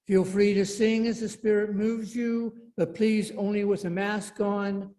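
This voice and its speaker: Casting: female worship leader, unhurried and soft-edged in tone, reading deliberately to a congregation. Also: mid-range voice, fairly even intonation. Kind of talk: church announcements and a read meditation.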